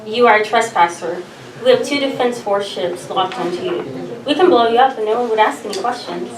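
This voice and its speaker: A woman's voice: fairly high pitch, fairly quick, crowd noise, natural voice. A woman playing an imperious, menacing alien ruler at a sci-fi table read. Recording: echoey room, distant mic, background voices.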